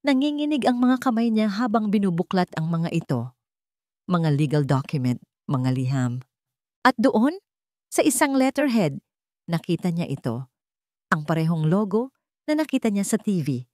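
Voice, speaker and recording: A woman narrating a scripted drama story in a measured, dramatic storytelling manner. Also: fairly low pitch, big pitch swings, dark tone, no room sound, studio quality.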